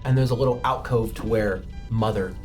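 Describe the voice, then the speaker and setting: A man running a tabletop roleplaying game, narrating scenes casually with fillers and bursts of laughing excitement. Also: lowish voice, brisk. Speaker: game master. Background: music.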